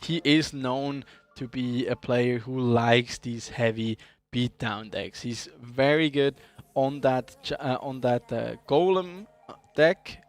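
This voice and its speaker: A man commentating an esports game in a casual, conversational way with frequent hesitations. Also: medium pitch. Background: background music.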